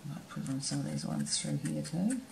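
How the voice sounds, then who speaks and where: A woman teaching painting, talking in a relaxed way as she paints and explaining each step. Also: low voice, a little fast, vocal fry, reverberant, a little hiss or hum. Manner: relaxed, thinking aloud while painting. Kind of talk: painting demonstration narration. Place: studio table, camera overhead.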